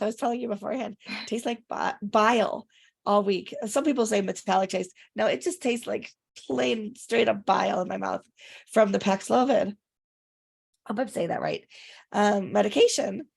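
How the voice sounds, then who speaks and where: Raspy congested chatty woman. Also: medium pitch, moderate pace, darkish tone. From Rochester area, upstate New York. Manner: chatty, rambling with fillers. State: raspy and congested after illness. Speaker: podcast co-host. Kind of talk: casual chat.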